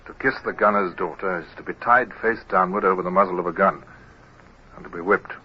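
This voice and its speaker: A man plays a resolute naval officer in a vintage radio drama. His voice is fairly low, grave and measured. The old recording is dull, with faint noise behind him. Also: lightly acted. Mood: grave narration. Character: earnest, resolute young male naval lieutenant, narrating his tale. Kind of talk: old-time radio drama acting and narration.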